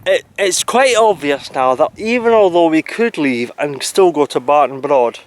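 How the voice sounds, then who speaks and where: Rambling high-voiced man. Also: animated, moderate pace. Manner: rambling, stumbling over words, lots of "you know". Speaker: vlogger. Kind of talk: casual chat.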